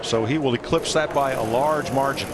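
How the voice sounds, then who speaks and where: A man's voice: medium pitch, normal speed, very noisy. A man calling a live stock car qualifying session as the play-by-play announcer, upbeat and reeling off driver stats. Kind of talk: live race commentary.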